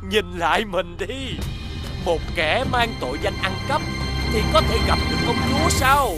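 A scheming villain from a cartoon fairy tale, high-pitched and drawn-out. He sneers and gloats like a sly court official, with music playing behind him. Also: animated. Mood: gloating, menacing. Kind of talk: animated fairy tale acting.

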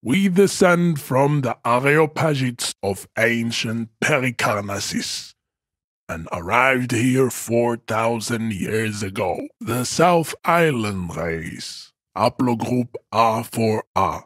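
Video game villain: imposing arrogant strongman, slow grandiose declamation with wide swings in pitch, contemptuous and formal. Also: animated, a bit bright, no echo, clean studio sound. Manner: pompous, declamatory, formal lecturing delivery. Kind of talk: video game character acting.

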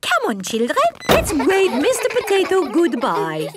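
A woman's voice: high voice, wildly swooping pitch, measured pace, heavy background noise, exaggerated voice. A woman voicing a kindly cartoon gazelle who teaches a playgroup, speaking in a schoolteacherly way. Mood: encouraging. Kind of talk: cartoon character acting.

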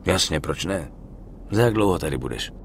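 A tough young gangster hero in a video game. His voice is low and swings widely in pitch, sardonic and questioning. There is slight noise in the background. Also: animated, gravelly. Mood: groggy, wry. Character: tough, sceptical young male mobster hero. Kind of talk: dramatic dubbed video game acting.

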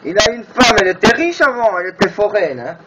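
Higher-pitched man on very noisy radio chat. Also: very animated, fast. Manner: teasing, crude. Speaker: amateur radio operator, video maker. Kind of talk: casual bawdy radio banter.

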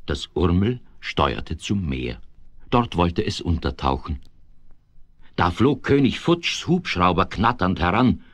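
A man narrating a 1970s children's radio play in a measured, expressive way that builds suspense. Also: sits low, no room sound, heavily acted. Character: male narrator of a whimsical children's tale.

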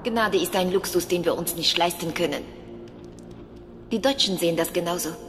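A woman's voice: lowish voice, moderate pace, lightly acted. A woman playing a grave, resolute French Resistance fighter in a dramatic video game cutscene. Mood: cold, resolute. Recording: long reverb, music behind.

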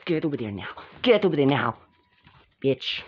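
A woman's voice: a bass voice, sing-song, normal speed, breathy edge, heavily acted. A woman voicing a scheming, bossy mean girl in an improvised role-play, curt and taunting. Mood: hostile, bossy. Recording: dull lo-fi sound.

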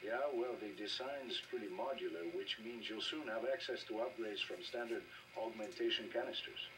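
A man acts as an easygoing video game doctor with a lowish voice and a wry, conversational way of explaining things. The sound is captured from a distance off a television, with faint noise behind it. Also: normal speed, fairly bright tone, natural voice. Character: easygoing, wry male army-agency doctor. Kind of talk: video game dialogue acting. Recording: game audio filmed off a TV, distant, hissy.

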